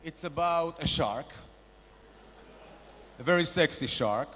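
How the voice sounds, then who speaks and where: A man's voice: animated, takes it slowly, a little hiss or hum. A man playing a brash, boastful male investor nicknamed a shark, barking bossy mock-grand business advice at a conference panel. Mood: pushy. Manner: loud, bossy, mock-grand sales pitching. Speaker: comedian performing at a panel. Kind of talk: stand-up character bit. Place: large conference hall. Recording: reverberant conference hall.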